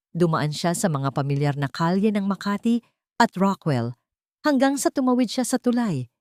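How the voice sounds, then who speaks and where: A woman narrating a scripted dramatic story in a suspenseful way, pausing between short phrases. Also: fairly low pitch, a little mellow, dry recording, studio quality.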